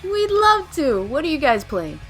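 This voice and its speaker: A woman's voice: high-pitched, big pitch swings, a little slow, fairly bright tone, faint music behind, full character voice. A woman playing a breezy young party-goer in an audio drama, dry and casual. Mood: breezy, teasing.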